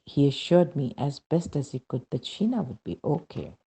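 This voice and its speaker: A reader narrating a devotional testimony from a script, with a husky, gravelly voice and a dramatic, emphatic, deliberately phrased delivery. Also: medium pitch, sing-song, mellow.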